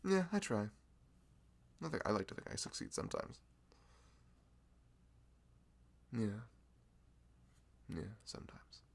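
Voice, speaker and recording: A man's voice: big pitch swings, normal speed, heavily acted. A man playing a sweet, bashful young male florist in a chatty, hesitant ASMR roleplay, with a velvety voice. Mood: bashful, sincere. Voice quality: velvety, low, creaky. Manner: chatty, hesitant, rambling, self-deprecating. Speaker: ASMR voice performer. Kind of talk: ASMR roleplay, improvised casual chat.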